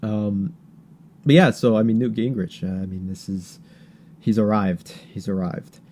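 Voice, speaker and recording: Low-pitched, mellow man talking politics casually. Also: fairly even intonation, a little slow. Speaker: podcast host.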